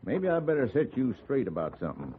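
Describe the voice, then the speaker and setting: An actor playing an easygoing, deferential cowhand with an American Western accent and a plain, folksy drawl in a 1950s radio western. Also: male voice, mid-range voice, huge pitch swings, fast. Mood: folksy, matter-of-fact. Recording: noisy, phone-like 1950s radio recording.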